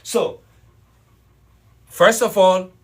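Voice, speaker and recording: A man's voice: high voice, fairly even intonation, extremely slow, fairly bright tone. A middle-aged man teaching an online language class, explaining points patiently and repeating them. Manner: explanatory, repeats points, greets students by name. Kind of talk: live online class lecture.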